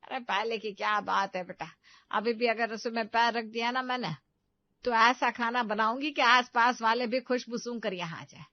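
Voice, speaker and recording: A woman plays a put-upon middle-aged mother-in-law with a fairly high voice, recorded dry and slightly muffled. She is stubborn, proud and full of emphatic complaint, in a comic story-drama style. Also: normal speed, no room sound, natural voice. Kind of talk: Hindi comedy story dramatization.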